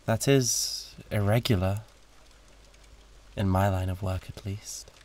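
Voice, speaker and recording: A man's voice: lowish voice, steady tone, slow, darkish tone. A British man playing a suave, condescending, flirtatious demon in a theatrical roleplay audio drama, with a haughty drawl. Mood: seductive.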